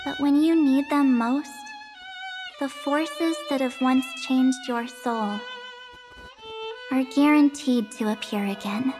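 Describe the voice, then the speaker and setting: A woman voicing a gentle, enigmatic young female keeper of memories in a video game, measured and softly assured. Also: sits high, music in the background, rough recording. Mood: calm, earnest. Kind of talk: video game character dialogue.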